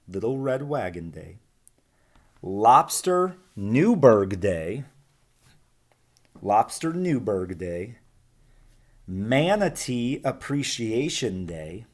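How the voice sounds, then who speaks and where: A man reads a picture book aloud in a mid-range voice that moves up and down with great liveliness. He is expressive and speaks directly to young listeners, slipping between narration and casual asides. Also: medium pitch, very slow, pauses often.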